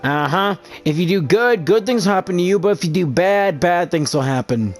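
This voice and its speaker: A woman voicing a calm, wry young traveler in a video game, with understated, dry delivery. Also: fairly low pitch, moderate pace, lightly acted. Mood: low-key, thoughtful. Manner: understated, dry, brief replies. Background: faint game music.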